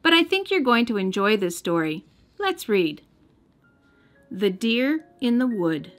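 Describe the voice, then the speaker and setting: An American woman reading a storybook aloud for young children in a gentle, careful storytelling manner. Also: fairly high pitch, very animated, a little slow. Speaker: children's storybook reader and host. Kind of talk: scripted read-aloud narration. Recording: music under the voice.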